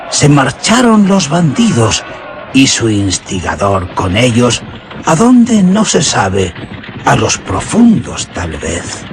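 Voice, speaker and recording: A man's voice: mid-range voice, noisy background. A man playing a rustic herdsman who tells an old legend in a mysterious, suspenseful radio drama manner. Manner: mysterious, drawn-out, suspenseful storytelling.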